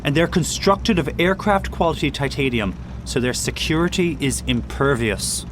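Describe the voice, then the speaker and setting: An actor playing a cautious, fussy first mate and diving technician in an audio drama, speaking formally and precisely. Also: male voice, a little high, moderate pace, close to an everyday voice. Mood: earnest briefing. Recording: clear outdoor boat and engine noise.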